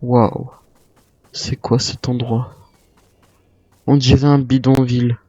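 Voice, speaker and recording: Man, mellow voice, wary survivor role-play, video game, muffled roomy recording. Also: medium pitch, flat delivery, takes it slowly, breathy edge. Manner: speaks in character as a wary survivor. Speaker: player role-playing a zombie apocalypse survivor. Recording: muffled lo-fi mic, roomy echo.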